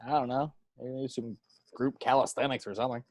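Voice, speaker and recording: A man's voice: mid-range voice, steady tone, fast talker, no room sound, natural voice. A man playing a despondent, grumbling control-room technician in an amateur table read. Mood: grudging.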